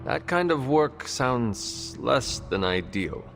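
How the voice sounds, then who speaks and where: An actor playing a solemn, polite supernatural hero in a video game, speaking formally and with restraint. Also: male voice, mid-range voice, very animated, slow pace, creaky, fairly bright tone, faint music behind, heavily acted. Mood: earnest, curious. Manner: formal, avoids contractions, restrained. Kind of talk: video game cutscene acting.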